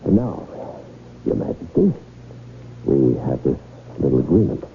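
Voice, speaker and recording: An actor playing a smooth-talking con man posing as a deferential royal aide in a 1970s radio drama, emphatic and insistent. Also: extremely low pitch, big pitch swings, a little slow, heavily acted. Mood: businesslike, pressing. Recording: noisy, tinny old radio recording.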